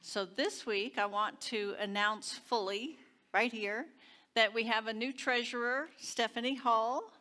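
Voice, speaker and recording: Bright-voiced woman leading a church service. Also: on the high side, steady tone, measured pace. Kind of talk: prayer and congregational announcements.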